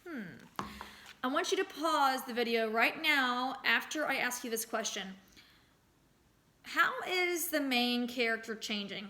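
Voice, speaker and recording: Woman, teacher, bright forceful voice, slightly high pitch, expressive read-aloud for children, emphatic deliberate phrasing. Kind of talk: expressive storybook read-aloud with lesson questions.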